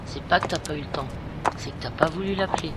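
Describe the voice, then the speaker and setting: A woman's voice: sits low, fast talker, background noise, natural voice. A woman playing a frank, bossy but caring teenage best friend in an audio drama. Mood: scolding, firm. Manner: frank, scolding, familiar. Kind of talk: amateur audio drama acting.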